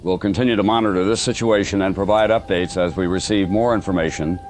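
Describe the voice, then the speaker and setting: A man playing a sober radio news anchor, reading a bulletin in a formal, measured way in an audio drama. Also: low-pitched, steady tone, darkish tone, slight background noise, lightly acted. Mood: serious, formal.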